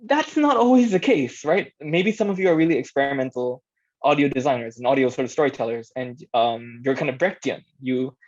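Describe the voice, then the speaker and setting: A man's voice: medium pitch, lively intonation, a little fast. Conversational man presenting, slightly dull recording. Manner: conversational, thinking aloud, checks in with listeners. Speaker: workshop presenter, audio drama director. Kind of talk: informal workshop talk with demonstrated lines. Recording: dull, lo-fi band-limited sound.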